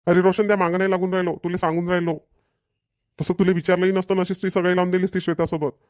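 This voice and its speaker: A man's voice: high-pitched, little pitch movement, racing, no room sound, clean studio sound, close to an everyday voice. A man voicing a practical, insistent middle-aged father in a cartoon family comedy, with a Varhadi Marathi accent. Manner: repetitive, matter-of-fact persuading.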